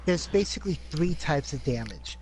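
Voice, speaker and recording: A man with a somewhat high voice chimes in at a tabletop game with short explanations. He sits away from the mic, and a faint hum runs underneath. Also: animated, normal speed, darkish tone. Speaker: player. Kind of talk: casual chat at the table.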